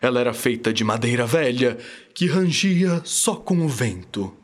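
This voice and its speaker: A man's voice: mid-range voice, moderate pace. A young Brazilian man narrating a scripted children's radio story in a dramatic storytelling style. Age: young adult. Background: music.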